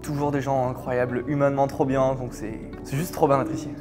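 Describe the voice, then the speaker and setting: A man's voice: medium pitch, level tone, brisk. A man in his early twenties giving a casual interview testimonial. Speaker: young entrepreneur giving a testimonial. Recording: music playing behind the voice.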